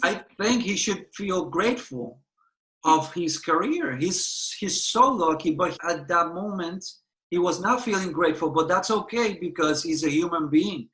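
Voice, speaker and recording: A man's voice: high voice, lively intonation, unhurried, bright, forceful tone, rough recording. A man from Puerto Rico with a Puerto Rican Spanish accent, speaking as a guest actor in an earnest interview and repeating phrases for emphasis.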